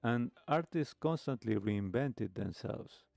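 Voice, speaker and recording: A man hosting a talk show, giving reflective commentary in measured, halting phrases with pauses between short clauses. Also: medium pitch, lively intonation, vocal fry, darkish tone, no echo, studio quality. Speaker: host of a live retro music talk show. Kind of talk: reflective commentary, reacting to a video. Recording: music playing behind the voice. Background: music with guitar.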